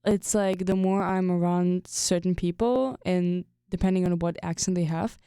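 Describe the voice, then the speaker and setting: A woman's voice: lowish voice, fairly even intonation, moderate pace, warm tone, clear, resonant tone, no echo, studio quality. Talkative young woman, German accent. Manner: talkative, thinks aloud with many fillers. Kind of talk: casual conversation.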